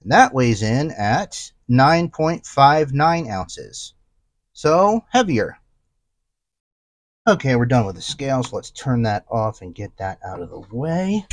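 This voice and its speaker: A man's voice: mid-range voice, sing-song, slow, dry recording. A man giving a casual, unscripted hands-on gadget review, explaining things conversationally and thinking aloud. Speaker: tech gadget reviewer and host.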